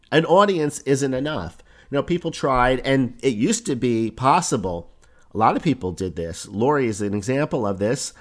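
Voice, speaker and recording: A man talking into a podcast mic with a hoarse voice. He explains his points in an easy, conversational way and leans on simple examples. His pitch sits in the middle of the usual range for a man. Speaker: podcast host. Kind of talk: solo podcast talk on online business.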